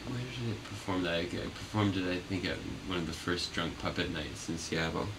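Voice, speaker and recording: A man being interviewed as a puppeteer, chatting casually in a halting, rambling way that often trails off. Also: low voice. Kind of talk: casual unscripted interview chat. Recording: noisy, distant mic.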